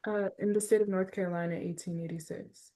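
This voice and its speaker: Young woman with a mellow voice. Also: on the low side, steady tone, fairly quick. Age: young adult. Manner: prompt, plain answers. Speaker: class student. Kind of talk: reciting catechism answers.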